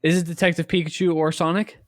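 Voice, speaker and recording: A man co-hosting a podcast, chatting casually about video games in a halting way, often repeating and rephrasing. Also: a little high, flat delivery, no room sound, clean studio sound. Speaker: podcast co-host.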